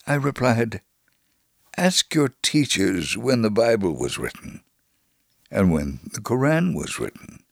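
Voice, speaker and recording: A man narrates a devotional testimony in a low voice, very slowly and deliberately. The delivery is earnest and measured, and the recording is dry and close. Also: very animated, vocal fry, dry recording. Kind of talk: scripted first-person narration.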